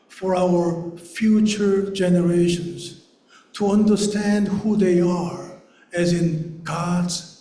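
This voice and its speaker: Korean-born pastor in an echoey sanctuary. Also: male voice, high voice. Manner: slow and deliberate in English, brisker in Korean; phrases trail off quietly at the ends. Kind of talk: sermon.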